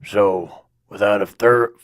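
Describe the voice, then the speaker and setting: A man playing a dim, oddball space hero who rambles in deadpan third person for a comic audio drama. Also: on the low side, takes it slowly, no echo, studio quality. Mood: rambling, casual. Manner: rambling, deadpan, refers to himself by name.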